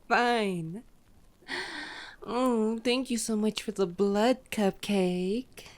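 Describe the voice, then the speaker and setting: A woman acts out a female vampire with a lowish voice and an unhurried, drawling delivery. She is sardonic and teasing, grumbling in exasperation and swearing casually, in the style of an ASMR roleplay. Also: darkish tone, lightly acted. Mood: disgusted, grumbling. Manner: sarcastic, exasperated, casually profane.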